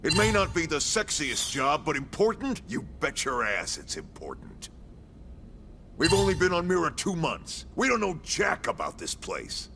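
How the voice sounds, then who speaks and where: An actor playing a gruff, brash middle-aged military commander in a video game, with an American accent, a gravelly voice and a blunt, folksy, salty way of talking. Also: male voice, a little high, wildly swooping pitch, normal speed, sharp tone, a little hiss or hum, heavily acted. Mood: briskly explaining. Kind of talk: scripted video game cutscene acting.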